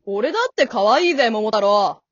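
A woman playing a cocky grown-up male monkey who talks in rough, flippant slang in an amateur comedy radio drama. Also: mid-range voice, lively intonation, moderate pace, no echo. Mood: breezy, teasing. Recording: dull lo-fi audio.

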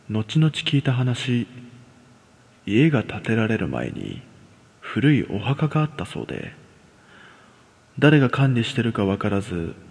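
A man's voice: fairly low pitch, creaky, a little mellow, slightly rough. A man telling a scripted ghost story in a dramatic way. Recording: long cavernous reverb.

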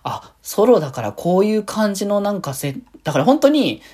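A woman's voice: mid-range voice, sing-song, fairly quick, mellow. A woman hosting a solo podcast in a casual, rambling way, as if thinking aloud. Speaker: host of a solo fan podcast.

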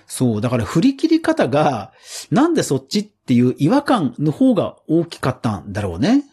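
A man's voice: fairly high pitch, huge pitch swings, moderate pace, warm tone, husky. A man hosting a podcast, giving casual, explanatory solo commentary on news in a conversational way. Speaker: podcast host.

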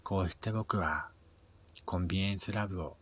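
A man with a husky voice narrating a scripted first-person radio drama, his phrase endings trailing into a breathy near-whisper. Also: sits low, moderate pace, fairly bright tone. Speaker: reader of own short story.

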